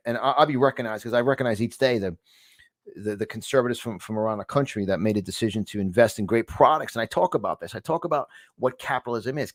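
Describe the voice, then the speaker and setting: A man's voice: mid-range voice, no echo, clean studio sound. Emphatic New York man preaching. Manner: emphatic, repetitive, stumbling restarts, preacherly. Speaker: host of a conservative news channel. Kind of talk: motivational monologue.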